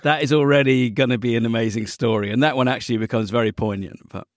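Man, podcast host, self-deprecating humour, mid-pitched voice, dry close sound. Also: fairly even intonation, fast, no room sound. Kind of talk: casual interview chat.

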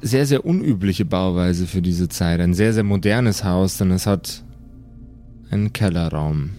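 A man narrating an improvised tabletop game as game master, in a measured, suspenseful way with dramatic pauses. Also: deep voice, mellow, faint music behind.

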